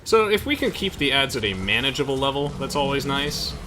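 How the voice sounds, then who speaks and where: A man's voice: medium pitch, very animated, fairly quick, noisy background. A young man hosting a let's play with casual, chatty and playful game commentary. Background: game music.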